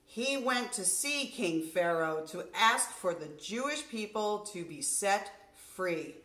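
A middle-aged woman, a nursery school teacher, reading a story aloud to young children in an expressive, deliberate way. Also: medium pitch. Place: furnished room at home, indoors. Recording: roomy indoor echo.

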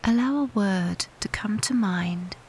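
A woman guiding a scripted meditation in a soft, breathy near-whisper, calm, soothing and unhurried with long pauses. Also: medium pitch. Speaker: meditation guide. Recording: steady background hiss.

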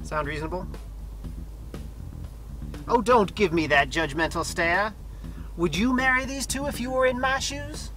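A man's voice: high voice, a little slow, long pauses, pushed and bright, rough recording. An actor in his thirties playing a weary, judgmental priest in a campy game cutscene, formal and genteel in his exasperation. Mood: resigned, guilty. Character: weary, judgmental runaway priest fretting over his duty.

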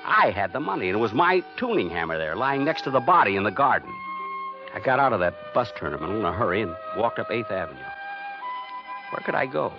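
A man's voice: on the low side, very animated, brisk. An actor playing a frantic everyman on the run, narrating a 1950s radio thriller with tense, dramatic phrasing. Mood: worried. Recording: dull old 1951 radio recording, loud music.

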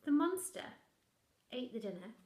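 A young adult woman, a primary teacher, reading a picture book aloud to young children in a gentle, expressive way. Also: high voice, normal speed. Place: living room indoors. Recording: roomy, echoey home recording.

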